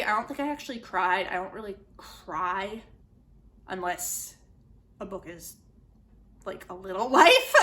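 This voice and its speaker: A woman's voice: medium pitch, pushed and bright. A young adult woman chatting casually in a book vlog, with a giggly, self-deprecating manner. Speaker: book vlogger, channel host.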